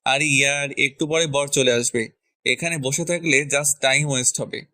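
A man's voice: medium pitch, fairly even intonation, normal speed, bright, forceful tone, no echo. A man playing an irritable, bossy young man who scolds curtly in a romantic audio story, dropping in English words. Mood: impatient, coaxing. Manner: scolding, curt, mixes English words into speech. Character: irritable, bossy young man, impatient older brother type.

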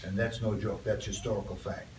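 An older man, a guest poet, reading a poem and chatting softly with students in a school classroom. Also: fairly low pitch, little pitch movement, brisk. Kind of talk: poetry reading and chat. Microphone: far phone camera. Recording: roomy, distant, noisy phone recording.

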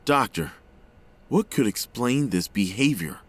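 Desperate, earnest father played by a man, highly animated intonation, emotional audio drama delivery. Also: measured pace. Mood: worried. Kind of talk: dramatic audio fiction acting.

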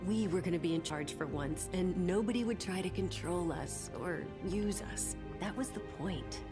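A woman's voice: fairly low pitch, moderate pace, close to an everyday voice. A woman playing an anguished, guilt-ridden character in a video game, emotional, reproachful and pleading. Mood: bitter, sad. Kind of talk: dramatic video game acting. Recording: music playing behind.

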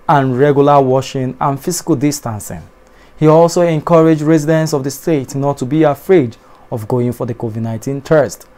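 A man's voice: a little high, darkish tone. Man reading TV news. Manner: measured formal newsreading, dipping at sentence ends. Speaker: newscaster. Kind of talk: read news bulletin. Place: TV studio in front of a backdrop.

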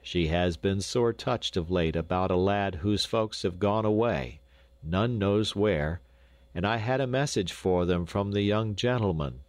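A man's voice: low voice, unhurried, dry recording. Man playing a hearty, plain-spoken peasant father. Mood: earnest. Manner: hearty old-fashioned rustic speech.

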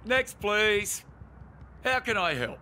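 A man's voice: high-pitched, sing-song, slow, pushed and bright, a little hiss or hum, heavily acted. A man with an Australian accent voicing an officious cartoon dog working a shop counter, matter-of-fact and by the book. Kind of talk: children's cartoon acting.

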